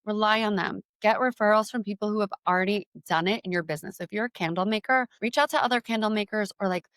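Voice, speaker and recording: Young woman guest, clear, smooth voice, dry close mic, chatty storyteller. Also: mid-range voice, fairly bright tone, dry recording. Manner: candid storyteller, frequent "like" fillers. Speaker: guest entrepreneur. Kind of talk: interview conversation.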